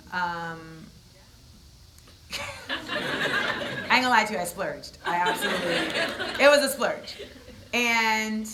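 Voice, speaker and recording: Animated, bright-toned woman telling stories. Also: slight background noise, poor audio. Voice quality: deep voice. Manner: animated, comedic, conversational with asides. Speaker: speaker at a university event. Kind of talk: storytelling talk to a live audience. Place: university event space.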